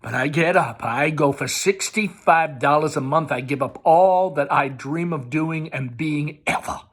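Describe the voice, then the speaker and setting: A man's voice: a little high, a little slow, voice pushed up. A man reading the part of an angry, sarcastic young man railing at his mother in a stage play. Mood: bitter outrage. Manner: bitter, ranting, mocking. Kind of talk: dramatic read-aloud of a stage play.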